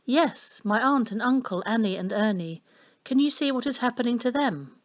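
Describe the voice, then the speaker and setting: A woman's voice: on the high side, animated, normal speed, muffled recording, pitched above the performer's own voice, natural voice. A woman voicing an uneasy, earnest young boy in a storybook, answering briefly and hesitantly. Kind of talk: children's storybook reading.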